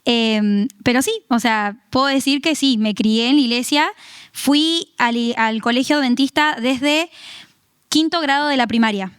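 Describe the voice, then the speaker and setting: A young Argentine woman with a Tucumán lilt talks about her life in a relaxed, chatty way, laughing easily and using many fillers. Her voice sits a little high and comes across smooth and resonant. The sound is close and dry. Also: unhurried, dry recording. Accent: Tucumán Argentine Spanish. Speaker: guest giving testimony. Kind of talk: casual testimony interview.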